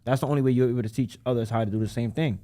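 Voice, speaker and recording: A man who runs a credit repair business, talking casually in an interview and acting out his callers in quick voices. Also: mid-range voice, steady tone, dark tone, no room sound, studio quality. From United States.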